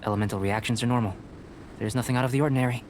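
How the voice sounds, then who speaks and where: A man voicing a calm, reserved young alchemist scholar in an anime game, speaking in a measured, precise way. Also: mid-range voice, slight background noise, lightly acted. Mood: composed, instructive. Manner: measured, precise, composed, clinical.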